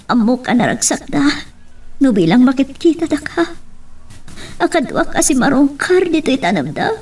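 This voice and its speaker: A loving mother in an Ilocano radio drama. Her voice is on the high side and set back from the microphone. She speaks with an Ilocano accent, tender and full of pleading. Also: big pitch swings, normal speed, a little mellow, recorded from a distance, soft background music. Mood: tearful, pleading.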